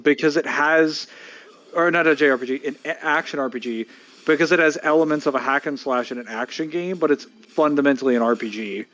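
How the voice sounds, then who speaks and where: A man's voice: a little high, fairly quick, a bit bright. A man bantering over a game stream, teasing his friends and arguing his points. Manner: teasing, argumentative. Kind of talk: casual gaming banter.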